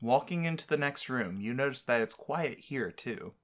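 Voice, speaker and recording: A man's voice: mid-range voice, expressive, moderate pace, fairly bright tone. A man hosting a let's-play, bantering teasingly and reading a visual novel game aloud.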